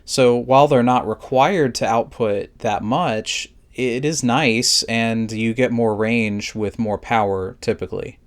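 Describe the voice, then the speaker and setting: A man's voice: medium pitch, unhurried, few pauses. A man narrating a scripted product review in a plain, methodical, instructional way.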